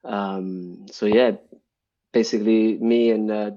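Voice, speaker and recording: A man's voice: lowish voice, fairly even intonation, takes it slowly, dark tone. A man from Brazil, a guest on a casual live-stream interview, explaining at length with many ums and restarts. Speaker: guest, UI developer. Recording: dull lo-fi stream audio.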